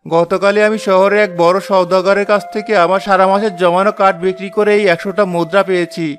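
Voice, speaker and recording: A man's voice: sits high, flat delivery, fast, natural voice. A man voicing an honest, poor woodcutter in a cartoon tale, earnestly protesting that he is wronged. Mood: pleading. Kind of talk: dramatic cartoon voice acting.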